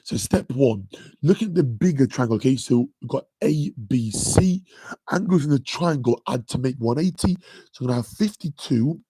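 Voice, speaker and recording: Deep-voiced man tutoring maths. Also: animated, normal speed, warm tone, slightly rough, no room sound, rough recording. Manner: chatty, says "okay" and "guys" constantly. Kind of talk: live-streamed exam revision lesson.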